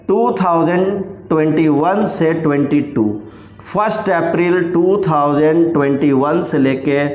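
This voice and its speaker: An Indian man teaching a commerce class, explaining slowly and checking in with his students after almost every point. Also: a little high, a little mellow. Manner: explains slowly, repeats points, frequent "theek hai" check-ins. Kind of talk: classroom lecture on tax rules. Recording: roomy echoey room sound.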